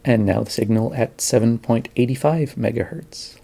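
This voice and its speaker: A man's voice: medium pitch, moderate pace, creaky, noisy background. A man narrating his own recordings in an informal, explanatory way.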